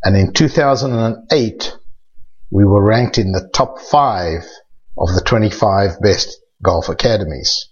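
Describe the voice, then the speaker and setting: An older man with a South African accent, a golf instructor hosting his own podcast in a measured, scripted way. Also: fairly low pitch, lo-fi sound.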